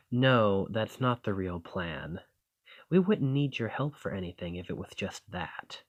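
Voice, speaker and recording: A man's voice: lowish voice, big pitch swings, slow, heavily acted. A man playing a roguish bandit leader in an audio roleplay, confident and wry, with a folksy, teasing, drawling manner. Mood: amused, conspiratorial. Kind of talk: scripted roleplay acting addressed to the listener.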